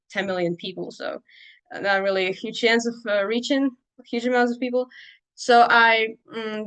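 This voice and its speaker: A woman's voice: mid-range voice, a bit bright, clean tone, poor audio. A young woman, a native Czech speaker, talking casually as a podcast guest, loose and full of fillers and restarts. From Czech Republic. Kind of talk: casual interview talk.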